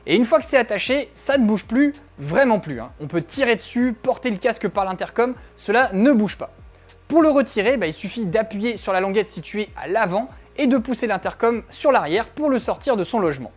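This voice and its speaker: A woman's voice: a little high, animated, brisk. A woman reviewer narrating a scripted product test in an informal, conversational way, with frequent tag words. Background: faint background music.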